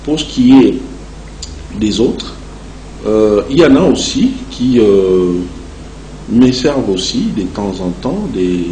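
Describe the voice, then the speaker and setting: A man with a Congolese accent giving long, measured and halting answers as a guest in a television interview. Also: on the low side, mellow. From Democratic Republic of the Congo. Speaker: interview guest, entrepreneur and association president. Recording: echoey room, clear background noise.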